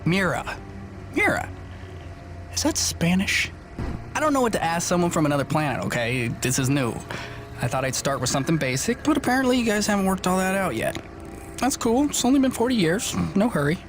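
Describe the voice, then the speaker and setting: An eager young hacker from a video game. He rambles in excitable slang, his pitch swinging widely. Loud music and noise sit behind him. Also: a little fast. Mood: awkward, flustered. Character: eager, nerdy young male hacker sidekick. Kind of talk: game cutscene acting.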